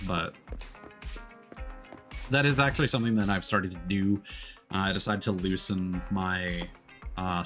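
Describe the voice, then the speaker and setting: Low-voiced man streaming a game over music. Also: a bit bright. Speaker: live streamer. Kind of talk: casual gameplay commentary, reading game dialogue aloud. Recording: music behind the voice.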